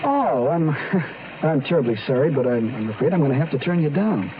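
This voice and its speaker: A young killer in a radio thriller, menacing under mock courtesy. His fairly high voice is halting and very animated, heard through a thin, slightly noisy recording. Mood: falsely polite. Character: menacing young male killer, falsely polite, unstable. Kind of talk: old-time radio drama acting. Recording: tinny radio recording with background noise.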